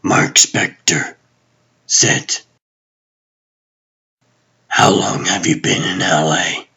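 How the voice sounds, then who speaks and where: Man playing husky, commanding secret superhero. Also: sits low, steady tone, pushed and bright, heavily acted. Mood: probing, terse. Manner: terse, deliberate. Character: guarded, commanding male TV producer and secret superhero. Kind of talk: comic dub voice acting.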